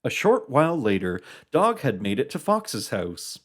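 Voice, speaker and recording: A narrator reading a scripted children's puppet show story with an expressive storybook delivery. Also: mid-range voice, unhurried.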